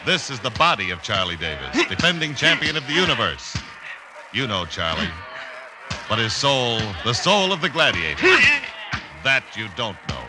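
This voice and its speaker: A man's voice: mid-range voice, sing-song, normal speed. An American man narrating a vintage radio drama in a theatrical, declamatory announcer's style. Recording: old radio broadcast, loud music.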